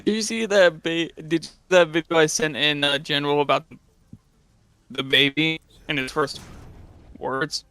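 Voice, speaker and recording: A man's voice: a little high, steady tone, moderate pace, pauses often, pushed and bright, clear, resonant tone. A man joining a stream as a friend, teasing and laughing through casual banter despite a sore throat. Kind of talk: casual gaming stream chat.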